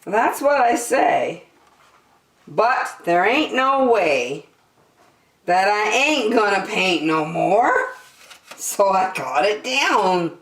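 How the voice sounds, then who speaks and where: A woman hosting a children's story time, reading a picture book in an animated, sing-song way. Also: mid-range voice. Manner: slow, animated delivery addressed to young children. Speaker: children's storytime host and reader. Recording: roomy echo, distant microphone.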